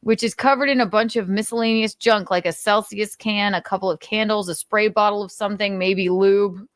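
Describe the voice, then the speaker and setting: A woman's voice: mid-range voice, fairly even intonation, brisk, no room sound. A woman host reading notes aloud and adding blunt, sarcastic commentary, swearing freely. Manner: sarcastic, mocking.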